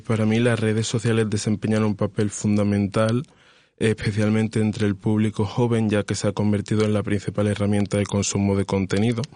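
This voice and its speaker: A man in his twenties speaking in a measured, thoughtful way with hesitant fillers on a podcast panel. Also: on the low side, darkish tone. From Spain. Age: 20s. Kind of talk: podcast discussion.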